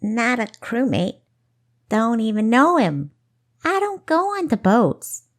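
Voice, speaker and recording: A woman's voice: on the high side, sing-song, slow pace, voice pushed up, full character voice. Woman voicing a bold, scruffy dockside youngster, rustic. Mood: defiant. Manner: rustic, ungrammatical, plain-spoken.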